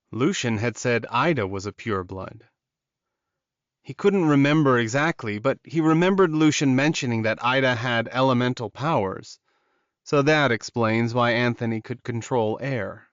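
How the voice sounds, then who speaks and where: Guy narrating a romance fantasy audiobook, mid pitch, really dry sound. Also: moderate pace, a bit bright, no echo, muffled recording.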